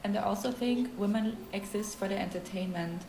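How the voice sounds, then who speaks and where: A woman's voice: medium pitch, fairly quick, gravelly, reverberant, background noise. A woman from Germany, giving an assertive, preachy opinion in a vlog.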